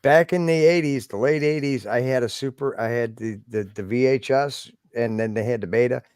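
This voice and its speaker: A man trading quick wisecracks and encouragement in a casual chat. His voice is at an ordinary pitch and sounds dry and close, with no room echo. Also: a little mellow, clean tone.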